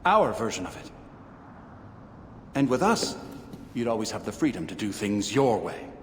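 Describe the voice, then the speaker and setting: Composed, low-voiced secret order leader. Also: male voice, big pitch swings, moderate pace. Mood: persuasive. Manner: composed, dry, persuasive. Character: calm, persuasive older leader of secret assassins. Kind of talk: video game cutscene acting. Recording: reverberant, faint ambient noise.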